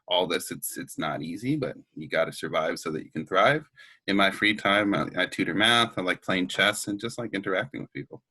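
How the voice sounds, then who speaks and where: A young man from Canada chats as a guest in a loose, rambling way. His voice is very low but bright and forceful. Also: husky, no room sound. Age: young adult. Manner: relaxed, rambling, filler-heavy. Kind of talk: casual conversation.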